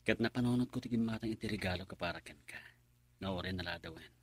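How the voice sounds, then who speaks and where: A man's voice: low-pitched, fast talker, husky, dry recording. A man playing an earnest, lovestruck young suitor in a radio drama. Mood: tender, bashful. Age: young adult. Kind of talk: Filipino radio drama acting.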